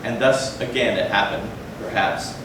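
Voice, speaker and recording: A man performing a dramatic stage reading of a gothic tale, with measured, theatrical phrasing and deliberate pauses. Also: on the low side, steady tone. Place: large echoey room, live stage performance. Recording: echoey hall from far off, noisy.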